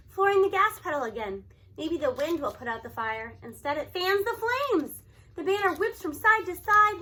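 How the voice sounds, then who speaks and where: A woman reading a children's novel aloud in an expressive, animated storytelling manner. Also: extremely high pitch. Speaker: audiobook reader.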